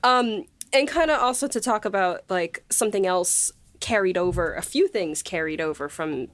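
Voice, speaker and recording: A woman co-hosting a podcast in casual conversation, animated and acting out bits of dialogue as she talks. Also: medium pitch, moderate pace. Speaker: podcast co-host and writer.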